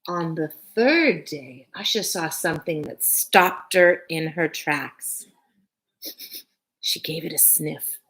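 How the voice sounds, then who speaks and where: A woman's voice: low-pitched, expressive, a bit bright, husky. A woman teacher reading a picture book aloud to children expressively, with short phrases and casual asides. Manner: addresses young listeners, pausing between short phrases.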